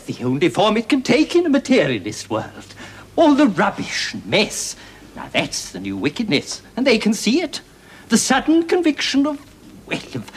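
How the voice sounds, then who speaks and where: An actor playing a doddering, fretful old English clergyman who rambles and moralises haltingly in a 1970s television drama. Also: high-pitched. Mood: earnest, musing. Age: older. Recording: noisy 1970s television soundtrack.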